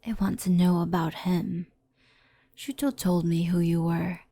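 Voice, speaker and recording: A woman voicing a gentle, loyal, slightly dazed resurrected yokai in an ASMR roleplay, soft and halting in delivery. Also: fairly low pitch, flat delivery, no echo. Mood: dreamy, content. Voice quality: soft, creaky.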